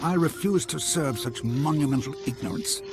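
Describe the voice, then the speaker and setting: An actor playing a proud, bitter, hawkish doctor in a video game, opinionated and emphatic. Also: male voice, fairly high pitch, normal speed, music in the background, close to an everyday voice. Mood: bitter, indignant. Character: bitter, proud, hawkish older scientist, freed captive. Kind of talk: video game cutscene acting.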